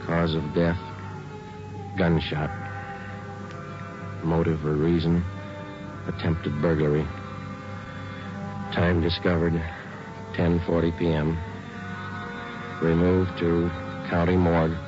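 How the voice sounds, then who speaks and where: An actor playing a weary police sergeant in 1940s American radio style, speaking in a flat, clipped, matter-of-fact way. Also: male voice, deep voice, takes it slowly, long pauses. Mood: flat, official. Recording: muffled old radio recording, music behind.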